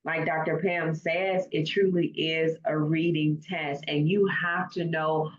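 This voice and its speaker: A woman's voice: deep voice, level tone, a little slow. A woman coach hosting an interview, talking in an encouraging, affirming way. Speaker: coach and host. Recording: echoey, dull lo-fi call audio.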